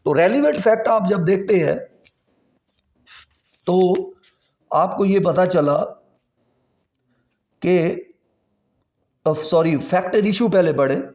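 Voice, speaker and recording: Slow, high-voiced Pakistani man lecturing. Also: lively intonation, darkish tone. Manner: deliberate, didactic, repeating key terms and asking rhetorical questions; code-switches with English legal terms. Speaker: law lecturer. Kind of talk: classroom lecture, explaining with examples and questions.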